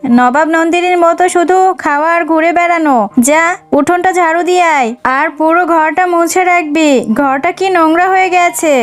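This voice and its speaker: A woman voicing a cruel, domineering stepmother fox in a children's cartoon, with a sly, nagging manner. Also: very high voice. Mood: scolding, threatening. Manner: sharp nagging, taunting rhetorical questions.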